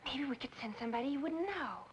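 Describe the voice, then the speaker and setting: A woman's voice: sits high, expressive, quick pace, highs cut off, a little hiss or hum. An actress playing an earnest, worried young society woman in a 1940s film noir style. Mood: concerned.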